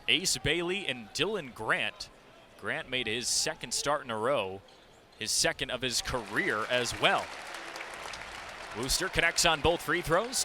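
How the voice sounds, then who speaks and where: A young man calling live basketball play-by-play for radio, describing the action vividly as his voice jumps with the plays. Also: mid-range voice. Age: young adult. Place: courtside broadcast in a basketball arena. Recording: loud arena noise, bouncing ball behind. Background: basketball game ambience, ball bounces.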